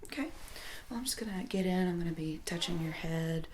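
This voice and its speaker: Soft-spoken woman doing ASMR, often whispering. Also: fairly low pitch, brisk, slight background noise. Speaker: ASMR performer playing a doctor.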